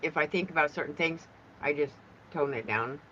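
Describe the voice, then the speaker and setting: A hoarse woman with a bright, sharp voice chats and giggles. The sound is dull and lo-fi, with a faint hiss behind her. She talks freely and easily. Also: low-pitched, normal speed. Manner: loud-natured, giggly, talkative. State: hoarse, voice going in and out. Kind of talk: casual chat.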